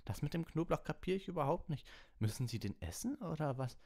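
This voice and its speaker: A friendly, hapless storybook schoolboy, played by a male reader. He sounds naive and pleading, his voice lifted high above the narrator's. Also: very animated, fairly quick, vocal fry, darkish tone, full character voice. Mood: hopeful, friendly.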